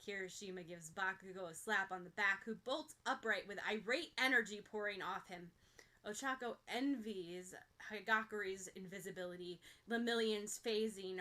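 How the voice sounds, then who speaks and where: A woman narrating a fan fiction story as a podfic reader, with expressive storytelling. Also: mid-range voice.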